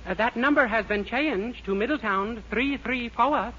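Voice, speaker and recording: A man's voice: very high-pitched, measured pace, bright, forceful tone, heavily acted. An actor playing an earnest, anxious young man, bluffing politely and flustered, in a 1940s radio comedy. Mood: polite, stalling. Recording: very noisy, dull 1940s radio recording.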